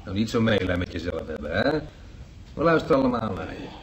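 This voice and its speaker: An actor playing a cheeky, teasing hospital patient who banters in breezy slang in a radio play. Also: male voice, low voice, sing-song, quick pace, background noise. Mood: breezy, chatty.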